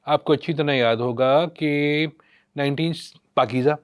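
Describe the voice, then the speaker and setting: A man's voice: on the high side, steady tone, moderate pace, clean tone. A man with a Pakistani accent giving opinionated film commentary in an emphatic, sarcastic way, often posing rhetorical questions. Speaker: podcast guest, film critic.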